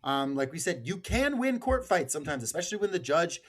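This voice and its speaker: A man's voice: fairly high pitch, sing-song, fairly quick. An American man hosting a podcast in casual political discussion, emphatic and sweary, often repeating words as he goes. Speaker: podcast host.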